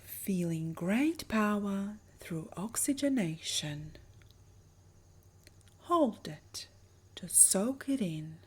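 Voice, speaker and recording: A woman with a soft, breathy voice narrating a guided meditation in a soothing, measured manner. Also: medium pitch, lively intonation. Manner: soothing, measured, breathy delivery with lingering pauses.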